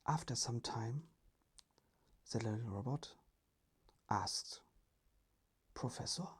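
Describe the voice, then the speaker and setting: A storyteller narrating a scripted children's tale in a soft, breathy ASMR whisper. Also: medium pitch, unhurried.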